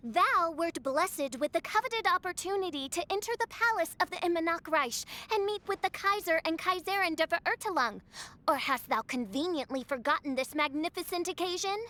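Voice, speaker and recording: A woman's voice: extremely high pitch, animated, normal speed, bright, forceful tone, heavily acted. A woman playing a grandiose teenage girl who styles herself a princess, speaking in pompous, theatrical archaic English for an anime-style game. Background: music.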